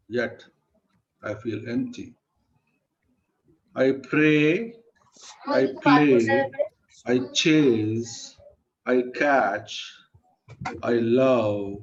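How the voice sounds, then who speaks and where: Very slow-paced man reciting solemn poetry. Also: medium pitch. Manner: halting short phrases, solemn and emphatic. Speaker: poet reciting at an online event. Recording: dull lo-fi online call audio.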